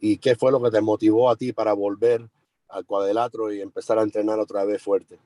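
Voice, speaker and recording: A man's voice: on the low side, little pitch movement, fast, dark tone, no echo. A man interpreting for a boxer on a media call in an informal, colloquial way. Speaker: boxer's representative and translator. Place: remote media conference call.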